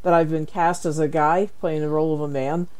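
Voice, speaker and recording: A woman's voice: deep voice, fairly even intonation, a little fast, a little mellow, clear, resonant tone. A woman co-hosting a casual podcast over a Skype call, chatty and often laughing. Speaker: podcast co-host and improv player.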